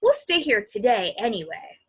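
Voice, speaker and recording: Woman as a high-voiced, sensible big-brother boy. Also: sing-song, moderate pace, breathy edge. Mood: reassuring. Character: sensible, reassuring older brother, a boy in a storybook.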